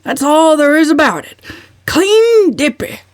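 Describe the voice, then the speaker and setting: A woman's voice: sits high, sing-song, measured pace, dry recording, studio quality, pitched above the performer's own voice. A woman voicing an angry, scornful old uncle, snorting and indignant, in a dramatic novel reading.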